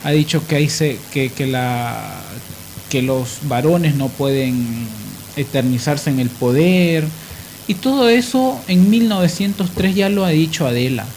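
A man hosting an opinionated radio discussion, emphatic and halting as he builds his points. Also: on the high side, animated, darkish tone, slight background noise, low-quality recording. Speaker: radio host.

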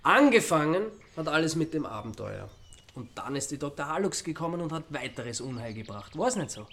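An actor playing a wry Austrian amateur sleuth in a radio crime drama, needling and reasoning aloud with sardonic calm. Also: male voice, fairly high pitch, brisk, slight background noise. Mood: wry, deducing.

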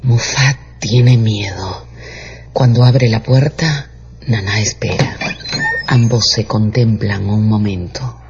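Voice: medium pitch, slow, darkish tone. A narrator reading a radio novel in a dramatic, scripted storytelling style. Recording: dull radio sound with music behind.

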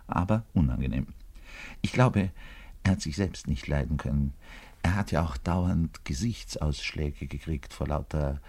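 An actor playing an embittered, self-pitying middle-aged double bassist in a rambling, theatrical radio monologue, pedantic and given to muttering and indignant declaiming, with a husky voice. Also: male voice, a little mellow, heavily acted. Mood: disdainful, muttering. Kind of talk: theatrical radio play monologue, confiding to a listener.

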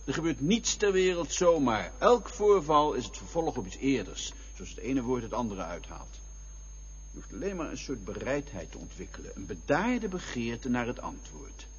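An actor playing a calm but needling male companion in a Dutch radio play, questioning and philosophical like a schoolmaster, in a very husky voice. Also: medium pitch, moderate pace, a little hiss or hum, lightly acted. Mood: calm, coaxing. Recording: dull, lo-fi radio play recording.

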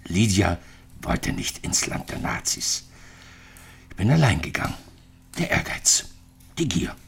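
A man's voice: low voice, very animated, measured pace, vocal fry, a little hiss or hum, exaggerated voice. An actor in a radio drama playing a bitter, lonely retired East German physicist in his sixties, reflecting in clipped phrases. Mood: resigned, wistful. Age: 60s.

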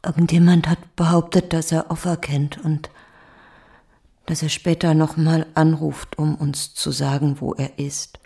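An actress playing a guarded, distressed middle-aged mother of a missing son in a radio drama, giving short defensive answers in a breathy voice. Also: low voice, level tone, unhurried, warm tone. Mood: guarded, tense. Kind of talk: German radio drama acting.

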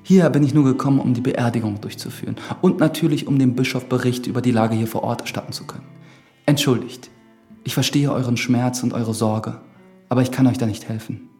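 Radio drama priest male actor: earnest church investigator, pious and formal, slightly gravelly voice, music underneath. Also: mid-range voice, a little fast, darkish tone, lightly acted. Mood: courteous, firm. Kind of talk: German radio drama acting.

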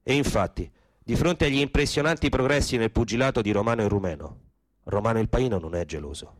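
A man's voice: lowish voice, quick pace, no room sound. A man narrating a radio drama in a theatrical, declamatory, rhythmic scripted style. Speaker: actor-narrator.

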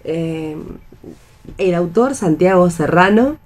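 A woman's voice: on the low side, lively intonation, slow, a crowd in the background. A woman co-hosting a casual radio chat.

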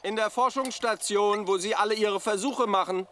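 An actor playing a blunt, complaining maintenance worker in a German radio drama. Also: male voice, high voice, moderate pace, a bit bright, a little hiss or hum. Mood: offhand. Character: gruff, grumbling male lamp maintenance worker.

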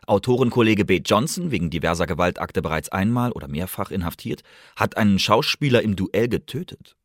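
A young playwright in a radio play reads a formal, rueful letter to his parents. The voice is deep and earnest, with measured phrasing, recorded very dry. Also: lively intonation, no echo. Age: young adult. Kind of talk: German radio drama, reading a letter aloud.

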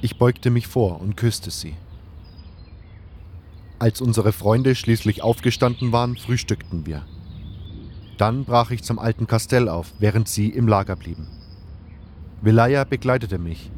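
A man acts out a thoughtful adventurer hero in a fantasy audio drama. His voice sits fairly low and he tells his story in a measured, earnest way, moving between narration and dialogue. Faint noise and birdsong lie behind him. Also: darkish tone, lightly acted. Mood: reflective, contented. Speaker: narrator and lead character of a fan audio drama.

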